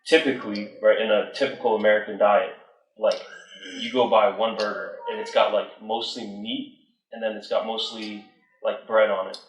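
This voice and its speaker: Low flat-voiced man from Iran. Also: normal speed. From northern Iran. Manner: hesitant, many fillers like "like". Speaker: study group member reading and summarizing. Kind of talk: reading aloud then casual explanation. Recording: echoey room, mic far off.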